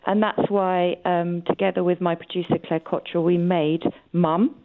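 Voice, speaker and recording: A woman's voice: lowish voice, fairly even intonation, normal speed, phone-quality sound. A woman speaking as a campaigning guest in a radio interview, stressing key words emphatically. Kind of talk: radio interview, advocacy talk.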